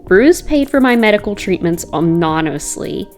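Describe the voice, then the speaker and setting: A woman playing a determined, guarded young heroine, narrating a comic drama in an earnest, wry, measured manner. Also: mid-range voice, expressive, darkish tone, close to an everyday voice. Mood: pensive, determined. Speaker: comic dub voice actor. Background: background music.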